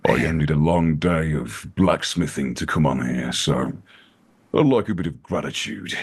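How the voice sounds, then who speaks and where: A man playing a proud, combative blacksmith from a fantasy video game, gruff and challenging. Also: a bass voice, lively intonation, measured pace, full character voice. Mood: cocky, menacing. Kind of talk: video game character acting. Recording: music behind the voice.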